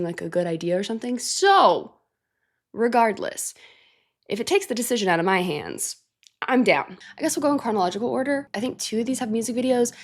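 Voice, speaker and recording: Sick, nasal woman chatting to camera. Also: medium pitch. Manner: chatty, rambling, thinks aloud with small laughs and fillers. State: sick and congested. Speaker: music reaction channel host. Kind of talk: casual reaction chat to camera.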